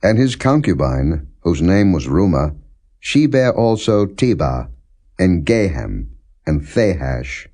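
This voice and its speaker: A man with a low, dark voice reads scripture aloud as a narrator. His delivery is solemn and measured, with deliberate phrasing and no dramatization. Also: sing-song. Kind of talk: non-dramatized scripted Bible reading.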